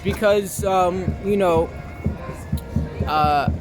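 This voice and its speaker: A young American man with a fairly high voice answers interview questions. He talks casually and loosely, pausing to find his words and slipping into informal language. Music plays clearly behind him. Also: a crowd in the background. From Long Island, United States. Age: young adult. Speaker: actor being interviewed.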